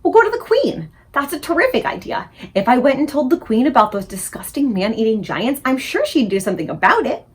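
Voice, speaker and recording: A woman voicing a determined little orphan girl who speaks high, urgent and insistent. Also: big pitch swings, a little fast, few pauses, slightly rough, exaggerated voice. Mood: excited. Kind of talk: audiobook character reading.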